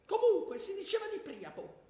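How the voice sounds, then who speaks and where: A very high, swooping schoolboy voice, prim and easily scandalised. He declaims his lines in a fussy, stilted way, like a class recital in a stage comedy. It rings through an echoey hall with long reverb. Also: huge pitch swings, normal speed, warm tone, full character voice. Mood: declamatory. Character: prim, easily shocked male schoolboy playing Apollo in class. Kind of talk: comic stage acting, stilted recitation breaking into asides.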